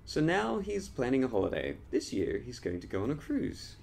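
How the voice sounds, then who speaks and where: A man reading his own children's story as an expressive storyteller, addressing young listeners directly. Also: medium pitch, normal speed, gravelly, slight background noise. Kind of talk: animated scripted storytelling for children.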